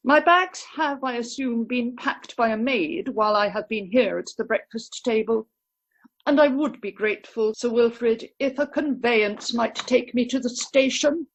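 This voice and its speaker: A woman's voice: fairly high pitch, normal speed. A woman playing a prim, fretful upper-class houseguest in an amateur radio play. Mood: prim, haughty. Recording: dull online call recording.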